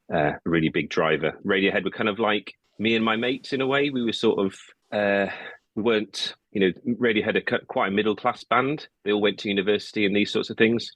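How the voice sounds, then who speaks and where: Brief: English man, early forties, very low voice, dry close sound, rambling podcast guest. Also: fairly quick, fairly bright tone, no room sound. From England. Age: early 40s. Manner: rambling, full of "you know" and restarts. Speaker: guest musician. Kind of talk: casual interview chat.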